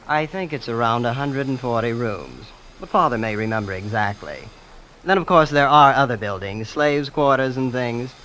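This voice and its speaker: An actor playing a breezy, immensely rich young heir who is casually ruthless, boasting in a nonchalant, understated way in an old-time radio drama. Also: male voice, medium pitch, expressive, moderate pace, sharp tone, rough recording. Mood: smugly casual. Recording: old radio broadcast with clear noise.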